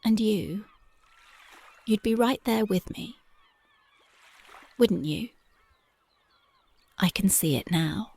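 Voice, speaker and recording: A woman voicing a tough but devoted young punk girlfriend in an ASMR roleplay, talking softly and intimately close to the mic, often dropping to a whisper. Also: medium pitch, takes it slowly, long pauses, husky. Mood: tender, dreamy. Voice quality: soft, intimate, partly whispered. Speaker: voice actress.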